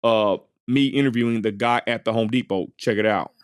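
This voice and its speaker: A man's voice: fairly low pitch, steady tone, moderate pace, smooth, no echo, studio quality. A man from West Texas narrating his street interview in a casual voice-over, full of fillers and asides to viewers. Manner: folksy, addresses viewers as y'all, many fillers.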